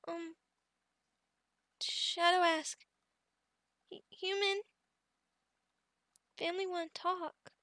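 Very high-pitched, sweet goblin girl. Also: bright, forceful tone, dry recording, clean studio sound, exaggerated voice. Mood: bashful, tender. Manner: halting broken speech, refers to herself in third person, giggly. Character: sweet young adult female goblin, shy, devoted, childlike. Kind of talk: ASMR roleplay.

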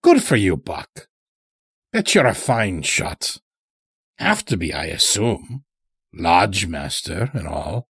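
A man's voice: low voice, big pitch swings, slow, pauses often, fairly bright tone, slightly rough, dry recording, studio quality, heavily acted. A man voicing a folksy, taunting old elder in an audio story, chatty and needling with rustic phrasing. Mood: sly, chatty. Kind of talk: dramatic audio story character.

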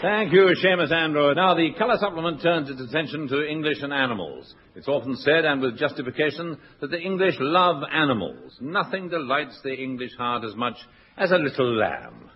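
A man's voice: fairly high pitch, sing-song, normal speed. Man hosting in a dry, mock-formal way, muffled hissy old broadcast. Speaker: comedy show host. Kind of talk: scripted comic links. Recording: dull 1960s radio recording with hiss.

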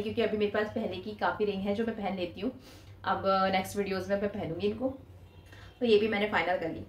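A woman's voice: a little high, reverberant. A woman in her twenties talking casually to camera in a shopping haul vlog, holding up items as she speaks. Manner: chatty, showing items to camera with her hands. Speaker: vlogger. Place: small room indoors.

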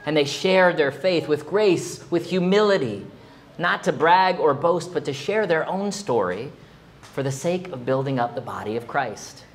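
A man in his forties, a pastor, preaching a sermon in a small church, expressive and at times emphatic. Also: unhurried, slight background noise. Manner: expressive, rising to emphatic high exclamations. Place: small church sanctuary, indoors.

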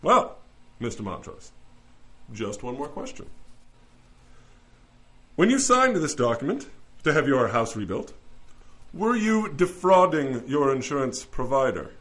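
An actor playing a shrewd, wry lawyer with a theatrical, probing way of questioning in an audio drama. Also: male voice, medium pitch, big pitch swings, measured pace, long pauses, fairly bright tone. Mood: probing, smooth. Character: wry, shrewd male lawyer pleading in a celestial court. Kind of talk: audio drama courtroom acting.

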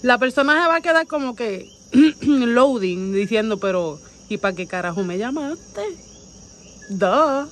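A woman with a Puerto Rican accent and a fairly high voice talks in a playful, slangy, casual way. She is outdoors at night on a phone mic, with faint insect noise behind her. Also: animated, a little slow. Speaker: vlogger making prank calls. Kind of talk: casual chat to camera and on video calls. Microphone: phone at close range.

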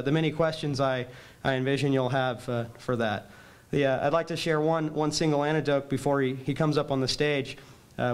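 A young man introducing a guest speaker in an indoor chapel hall, halting with many pauses and restarts. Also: fairly high pitch, clear, resonant tone. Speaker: student organizer introducing speaker. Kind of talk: introduction speech. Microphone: podium mic at a distance.

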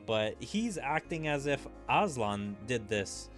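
A man's voice: medium pitch, big pitch swings, slow, faint music behind. A man gaming streamer giving casual, rambling playthrough commentary, thinking aloud in a puzzled way.

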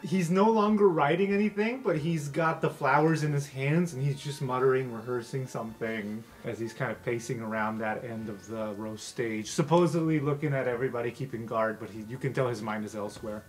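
A man's voice: medium pitch, fairly quick, hardly any pauses. A man narrating an improvised tabletop game as game master in a casual, conversational way.

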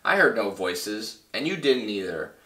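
A man's voice: lowish voice, sing-song, unhurried, sharp tone, exaggerated voice. A man voicing a haughty, bossy big female kangaroo in a storybook, with emphatic delivery. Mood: dismissive. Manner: snappy, emphatic. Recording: roomy, slightly distant mic.

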